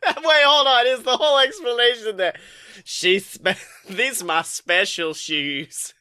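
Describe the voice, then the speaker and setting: Bright, clear-voiced man, animated podcast host. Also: very high-pitched, takes it slowly. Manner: animated, joking, profane. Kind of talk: casual comedic podcast banter.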